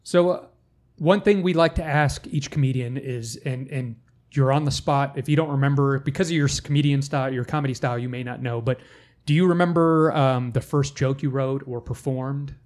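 A man with an average-pitched voice hosts a casual podcast chat. He asks long, meandering questions, restarting himself often and slipping in dry jokes. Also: fairly quick, darkish tone. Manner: rambling, joking questions with many restarts. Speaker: podcast host.

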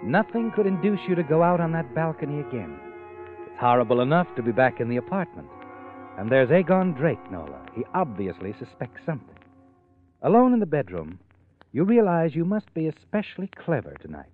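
Casting: ominous, taunting storyteller; fairly high, dramatic swoops, narrow vintage radio. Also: male voice, big pitch swings, brisk. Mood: ominous, knowing. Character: sinister, knowing male narrator addressing the heroine. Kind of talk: second-person suspense narration, old radio. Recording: old narrow-band radio recording. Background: dramatic music with brass.

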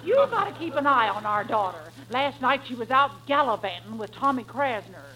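Folksy backwoods ma, swooping voice. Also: female voice, fairly high pitch, big pitch swings, brisk, pushed and bright, low-quality recording. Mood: weary. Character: folksy, long-suffering backwoods wife and mother. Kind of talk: 1940s radio comedy acting. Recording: vintage radio recording before studio audience.

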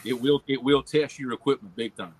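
A man's voice: mid-range voice, a little fast, breathy edge. A man from Louisiana with a Cajun accent, chatting casually about fishing and often repeating his words as he starts a sentence. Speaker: guest angler on a fishing livestream. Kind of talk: casual chat.

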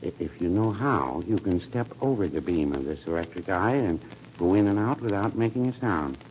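An actor playing an older small-town druggist in a 1940s radio comedy, dry, folksy, hesitant and never hurried. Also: male voice, sits low, lively intonation. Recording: muffled old radio recording, faint noise.

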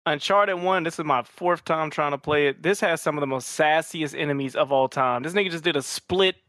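A man with a fairly high voice, recorded very dry. He chats casually as a gaming podcast host, teasing and using plenty of slang. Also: fairly quick, a bit bright, no echo.

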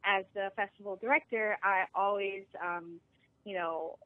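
A woman's voice: mid-range voice, steady tone, measured pace, dry recording. A woman, a film festival founder, chatting as a guest on a radio show by phone, bubbly, laughing often and using many fillers. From Liberian family. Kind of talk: casual radio interview. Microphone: phone line. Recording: tinny dry phone line.

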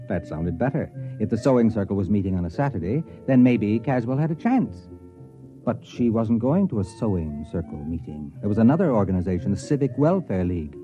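A man's voice: medium pitch, lightly acted. An actor playing a sardonic, impatient university administrator, telling his story with dry wit in classic radio drama style. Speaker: narrator and lead actor. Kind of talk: classic radio drama acting and first-person narration. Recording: muffled vintage radio recording with music.

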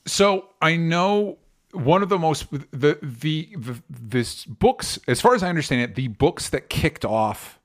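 A man's voice: a little high, expressive, takes it slowly. A man hosting a podcast, talking casually through movie news in an explanatory way, with qualifications and the odd swear word. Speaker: podcast host.

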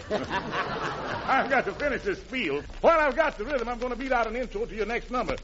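A man with a very high, bright voice delivers playful jive patter as an old-time radio host. He sounds like a mock-pompous showman, on a dull vintage recording with an audience. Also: expressive, moderate pace, slight background noise.

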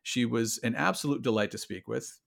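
A man with a plain, flat Midwestern accent hosts in a relaxed, friendly tone. His voice sits mid-range, and he talks casually with a few fillers. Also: mid-range voice, normal speed, vocal fry. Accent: Midwestern American. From Iowa. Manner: friendly, easygoing, uses fillers. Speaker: podcast host. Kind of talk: casual chat and interview.

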